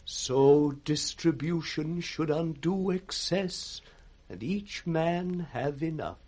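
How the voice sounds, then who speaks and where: An actor playing a wretched, blinded old nobleman with a British accent, husky and broken, in 1960s radio Shakespeare style. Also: on the high side, a little mellow. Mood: bitter despair. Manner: slow, broken, declamatory.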